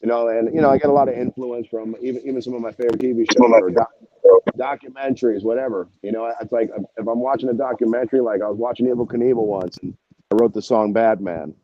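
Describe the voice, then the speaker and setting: A man's voice: mid-range voice, steady tone, quick pace, muffled recording. A man talking casually as an interview guest, a singer telling stories in a rambling, slangy, salty way. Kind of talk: casual interview chat, anecdotes.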